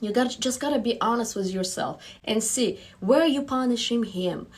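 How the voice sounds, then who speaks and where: A woman's voice: a little high, moderate pace, breathy edge. A woman with a Russian accent giving direct relationship advice, reading out viewer questions and laughing between points. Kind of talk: casual live-stream advice.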